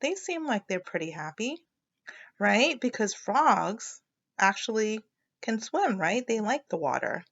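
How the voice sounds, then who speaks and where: Slow, animated woman teaching a read-aloud. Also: medium pitch, muffled recording. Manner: explanatory, thinking aloud, prompting listeners. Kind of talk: children's read-aloud lesson with questions.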